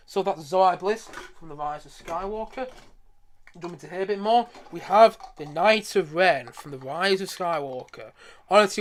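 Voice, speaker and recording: A man with a high voice talks at a rapid clip as he hosts a casual live stream. He is enthusiastic and rambles along, circling back to repeat his points. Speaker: streamer showing a collection.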